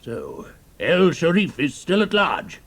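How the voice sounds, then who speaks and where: Blustery, high-pitched British general. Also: male voice, huge pitch swings, slow, gravelly, a bit bright, full character voice. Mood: incredulous, stern. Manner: clipped, authoritative, exclamatory. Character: gruff, commanding British army general, excitable and blustery. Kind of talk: audio drama acting.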